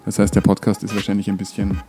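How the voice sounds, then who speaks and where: A man hosting a casual podcast interview, phrasing his questions in a hesitant, unhurried way. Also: on the low side, monotone, warm tone, soft background music. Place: living room in a flat.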